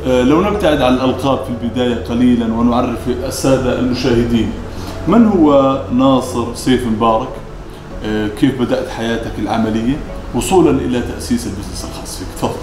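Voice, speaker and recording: A man's voice: mid-range voice, fast talker, music in the background. A man with a Levantine Arabic accent hosting a business interview, polite and effusive with his guest. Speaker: interviewer, show host. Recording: roomy, echoing, clearly noisy background.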